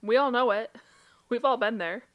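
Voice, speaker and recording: A woman's voice: on the high side, moderate pace, lo-fi sound. A woman who is a licensed therapist and a podcast co-host, talking in a blunt, casual way with swearing and frequent laughter. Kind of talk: casual chat.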